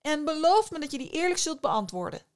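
A woman voicing a cheeky, clever young male fisherman who talks in a casual, slangy, quick-witted way. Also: high-pitched, sing-song, pitched above the performer's own voice.

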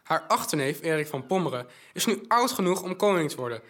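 A man acts out a young orphan messenger boy in an audio drama. His voice sits fairly high, and he is courteous and eager, reporting each piece of news with careful detail. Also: sing-song, a little fast, a bit bright. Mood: informative, keen. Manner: polite, informative, recites news in detail.

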